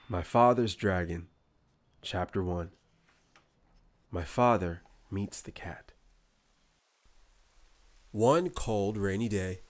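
A male host reads a children's story aloud. His voice sits a bit low, and he moves very slowly, setting out each phrase deliberately with pauses for effect. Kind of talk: children's read-aloud narration.

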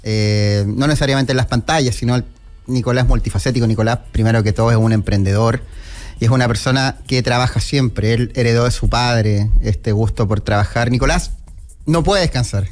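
A middle-aged Chilean man hosting a radio show, giving a solemn, respectful tribute in a measured way, close on a studio microphone. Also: mid-range voice, darkish tone. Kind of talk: solemn tribute monologue, partly read aloud. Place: small indoor radio studio. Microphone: close broadcast microphone with headphones. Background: background music.

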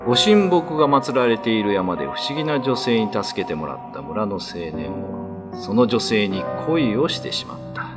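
A man's voice: lowish voice, very animated, moderate pace. A man narrating a scripted ghost-story radio drama in a dramatic storytelling style. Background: music.

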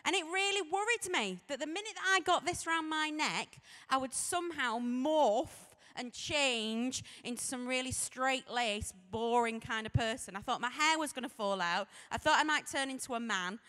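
A woman in her forties with a Sheffield accent tells her story in a chatty, self-mocking way. Her voice sits a little high and comes across bright and forceful. She holds a microphone on a stage, and the large hall adds a long, echoing tail to her words. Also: moderate pace, hardly any pauses. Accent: Sheffield English. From Sheffield, England. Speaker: vicar. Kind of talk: humorous personal testimony to a youth audience. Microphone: handheld microphone. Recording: echoey hall.

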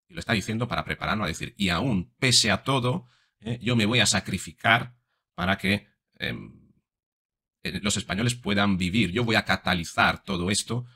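A man's voice: lowish voice, creaky, pushed and bright. A man in his forties giving explanatory, analytical commentary as a psychologist, often checking in with short tag questions, close on a desk microphone. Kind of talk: analytical commentary on body language. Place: small room indoors. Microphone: desk microphone close in front.

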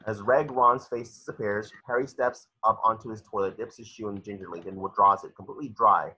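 A man narrates screenplay directions in an amateur table read. His voice is low and forceful with a husky edge, recorded slightly muffled over a video call. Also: steady tone, a little fast. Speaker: narrator reading stage directions.

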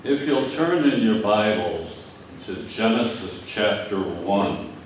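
Slow, deep-voiced older pastor in echoey hall. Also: male voice, expressive, slight background noise. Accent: Michigan. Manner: halting, repeats words, many fillers. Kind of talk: sermon. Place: church hall with stage and screen. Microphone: lectern mic from a distance. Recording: echoey hall, distant mic.

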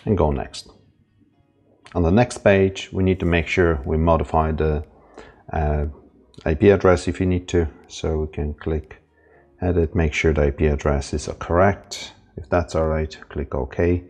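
A man's voice: very deep voice, steady tone, measured pace, a little mellow, faint music behind. A man from Ireland casually walking through an intercom setup step by step, with a few fillers. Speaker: installer demonstrating setup. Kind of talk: casual tutorial narration.

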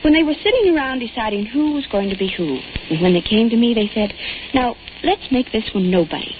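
A woman's voice: on the high side, expressive, brisk, lightly acted. An actress playing a fed-up young soda fountain girl in a 1940s radio drama, wry, sarcastic and defiant. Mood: bitter, fed up. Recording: tinny, noisy old radio recording.

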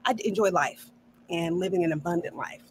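A middle-aged woman hosts a podcast over a video call, affirming her guest and laughing often. Her voice sits somewhat low. A faint hum of room ambience runs underneath. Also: a little fast. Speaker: podcast host, neuro coach. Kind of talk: casual podcast conversation. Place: home office.

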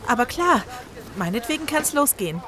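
An actress plays a polite, anxious doctor in a horror radio play. Her voice is slightly high, with clear ambient effects behind it. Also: big pitch swings, moderate pace, background noise. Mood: polite, nervous. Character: anxious young female doctor, polite newcomer. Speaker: voice actor. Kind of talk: audio drama acting.

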